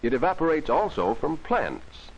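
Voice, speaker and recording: A man's voice: fairly high pitch, big pitch swings, normal speed, vocal fry, breathy edge, dull audio. A man narrating a vintage 1940s educational film for children, explaining things simply and instructively on an old film soundtrack.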